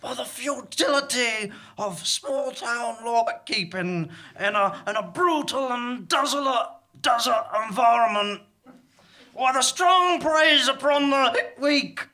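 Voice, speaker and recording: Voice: mid-range voice, lively intonation, slow, pushed and bright, full character voice. A performer playing a drunken, disillusioned, self-mocking frontier lawman on stage, wordy and theatrical, in a comic sci-fi western. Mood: bitter, boastful.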